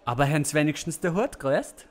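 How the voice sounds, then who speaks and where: Man playing a high, swooping, pompous village overlord. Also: expressive, brisk, close to an everyday voice. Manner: waffling, self-important, hesitant with many tag questions. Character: Gessler, pompous, dithering village overlord who loves comfort. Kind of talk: comic Swiss-German audio play acting.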